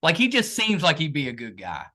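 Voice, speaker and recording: A man's voice: on the high side, huge pitch swings, brisk, pushed and bright. A man hosting a casual podcast interview in an informal, agreeable way. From Southern United States. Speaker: podcast host.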